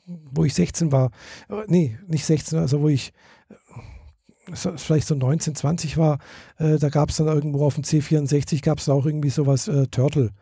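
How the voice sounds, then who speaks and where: A man's voice: on the high side, steady tone, a little fast, dark tone, breathy edge, dry recording, studio quality. A man, chatting casually and hesitantly, with many fillers and restarts. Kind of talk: casual chat.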